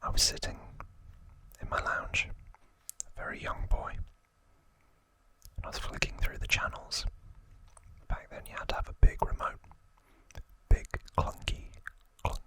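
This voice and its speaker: Whispering man, very slow ASMR delivery. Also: pushed and bright. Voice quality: breathy, unvoiced whisper. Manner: slow, deliberate, short phrases with long pauses. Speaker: ASMR host. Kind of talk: whispered ASMR, relaxed storytelling.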